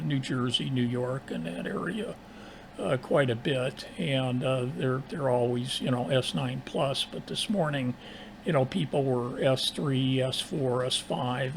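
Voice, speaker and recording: A man talking casually as an amateur radio operator, halting and technical in his delivery. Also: medium pitch, gravelly. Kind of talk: casual ham radio exchange. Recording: constant hiss, ambient background noise.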